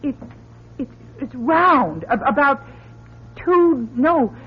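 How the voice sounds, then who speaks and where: A woman's voice: high voice, animated, slow. An actress playing an anxious but plucky woman in a radio drama, speaking in a hesitant, effortful way. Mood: cautious, halting. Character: anxious but plucky woman scouting a dark escape tunnel. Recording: dull, muffled radio recording.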